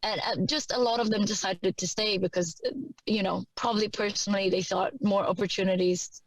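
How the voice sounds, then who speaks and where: Polish-raised woman, calm interview guest. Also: medium pitch, no echo, low-quality recording. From Poland. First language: Vietnamese. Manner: measured, with frequent fillers. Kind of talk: conversational interview.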